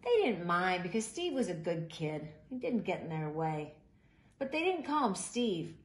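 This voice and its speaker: A woman reading a children's picture book aloud in an expressive, animated way, with deliberate emphasis. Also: lowish voice. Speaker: storybook reader. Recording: roomy echo, faint background noise.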